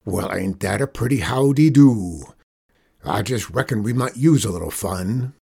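A man voicing a bullying outlaw gang boss from a Western story, with a rough growl and drawling, folksy cowboy slang. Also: fairly low pitch, animated, measured pace, mellow, heavily acted. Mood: amused, commanding.

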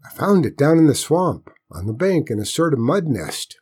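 A man's voice: very animated, normal speed, darkish tone, breathy edge. A man voicing a proud, stubborn eight-year-old boy with a rural Southern US accent for a story. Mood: proud, eager. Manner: eager, earnest, raised pitch. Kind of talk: storybook character voice in narration.

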